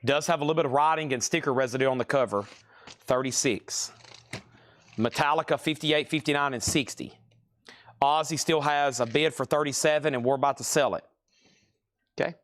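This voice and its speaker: A man hosting a live record sale stream, briskly listing items and current bids with chatty asides to viewers. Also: fairly high pitch, a bit bright.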